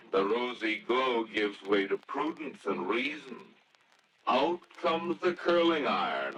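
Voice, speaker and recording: A man narrating a scripted story in a theatrical, deliberate old-time radio style. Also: low voice, a bit bright, slight background noise, low-quality recording.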